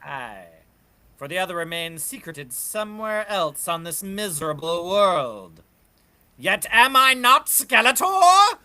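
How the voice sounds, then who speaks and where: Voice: medium pitch, animated, unhurried, a bit bright. A performer voicing a demonic skeletal sorcerer villain, grandiose, scheming and theatrical, in a dramatic table read of a comic book. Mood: grandiose menace. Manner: theatrical, declaiming.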